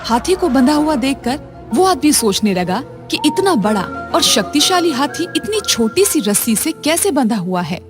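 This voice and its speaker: A woman narrating short moral stories for children in a calm, even, scripted storytelling manner. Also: a little high.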